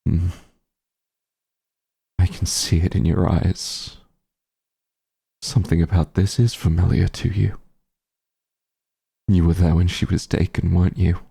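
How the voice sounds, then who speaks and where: A man playing a bitter, menacing fantasy werewolf hunter in an ASMR roleplay, speaking in a cold, deliberate, contemptuous way. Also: sits low, little pitch movement, gravelly, warm tone, breathy edge, full character voice. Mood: accusing, contemptuous. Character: bitter, menacing werewolf hunter grieving his missing friend. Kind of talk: scripted ASMR roleplay, slow dramatic monologue.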